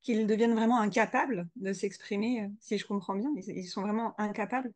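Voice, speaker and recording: A woman's voice: mid-range voice, fairly even intonation, a little fast, dry recording. A woman interviewing a guest, summing up what she hears and asking follow-up questions, with frequent short agreements. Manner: sums up and asks back, with frequent short agreements. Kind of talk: interview conversation.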